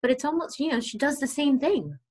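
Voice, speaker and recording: A woman's voice: high-pitched, big pitch swings, a little fast, a little mellow. A woman co-hosting a casual book discussion, thinking aloud and repeating phrases as she talks. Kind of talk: casual chat.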